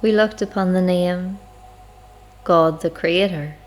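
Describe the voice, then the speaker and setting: A woman guiding a spiritual meditation in an unhurried, reflective, measured way, with long pauses between phrases. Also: lowish voice, fairly even intonation. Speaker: guided meditation leader. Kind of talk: slow spiritual guided meditation narration. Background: music.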